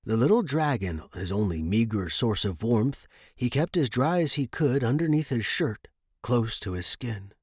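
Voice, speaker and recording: A man's voice: mid-range voice, expressive, moderate pace, darkish tone, breathy edge, dry recording, studio quality. A man reading a short story aloud as a scripted narration.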